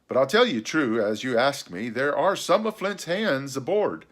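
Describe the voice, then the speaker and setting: A man's voice: mid-range voice, animated, moderate pace, pitched above the performer's own voice, lightly acted. A man voicing an earnest, well-spoken boy adventurer in a storybook, curious and polite. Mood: frank, steady.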